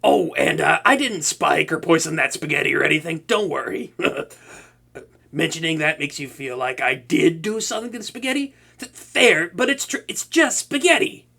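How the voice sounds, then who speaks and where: A man's voice: a little high, animated, normal speed, creaky, pushed and bright, exaggerated voice. A young man voicing a proud, tsundere male supervillain who cooks for a captive hero, stammering and easily flustered in a comedic audio roleplay. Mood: playful. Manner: stammering, flustered, talks to an unseen listener.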